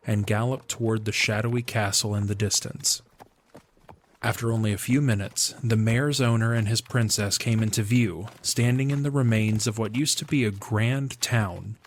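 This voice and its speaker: A man's voice: lowish voice, slight background noise. A man giving scripted narration of a fantasy audio drama as its narrator.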